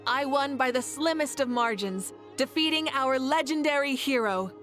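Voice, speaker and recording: A confident female ruler makes proud, commanding toasts in a somewhat high voice. Game music plays softly in the background. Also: lively intonation, moderate pace. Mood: regal. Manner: commanding, laughs easily. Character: confident female fire ruler, regal and warm. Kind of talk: game voice acting.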